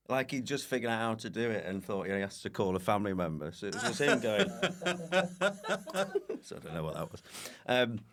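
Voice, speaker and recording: A man's voice: on the low side, huge pitch swings, brisk, pauses often, a bit bright. A man chatting casually as a podcast guest, telling rambling, self-deprecating comic anecdotes with a giggly manner. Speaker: guest comedian.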